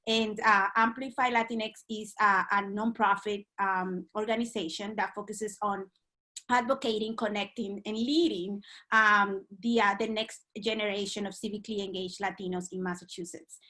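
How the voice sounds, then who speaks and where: Bright-voiced woman, hesitant, from Dominican Republic. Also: mid-range voice, fairly even intonation, moderate pace. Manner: hesitant, many ums and uhs. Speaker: guest. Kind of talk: remote podcast interview.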